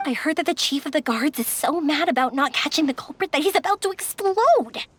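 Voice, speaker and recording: A woman's voice: high-pitched, animated, fairly quick, fairly bright tone. A woman playing an eager, earnest young woman in an anime video game. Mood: gossipy.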